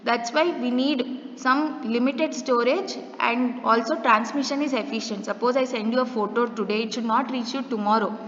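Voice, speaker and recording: A woman with a fairly high voice lectures in a roomy space that rings with long reverb. She explains step by step, asking her listeners questions and reaching for everyday examples. The recording sounds dull and muffled. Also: fairly bright tone, slight background noise.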